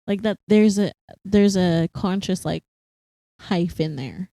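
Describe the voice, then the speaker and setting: A young woman co-hosting a casual chat podcast, animated and quick to laugh, full of fillers. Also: lowish voice, vocal fry, dry recording. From Philippines, raised partly in Singapore. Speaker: podcast co-host.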